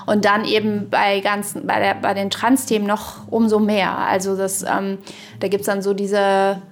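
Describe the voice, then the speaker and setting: A woman's voice: medium pitch, completely flat delivery, a little slow, slight background noise. A woman co-hosting a podcast in casual discussion, searching for words, rambling and trailing off mid-thought. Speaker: podcast co-host. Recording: voice over background music. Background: music.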